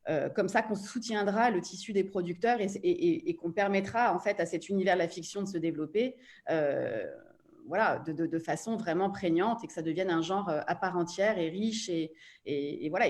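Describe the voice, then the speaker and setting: Low-pitched woman explaining on a panel. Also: brisk, darkish tone. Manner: explanatory, hesitant phrasing. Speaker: podcast platform representative, panel guest. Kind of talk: panel discussion.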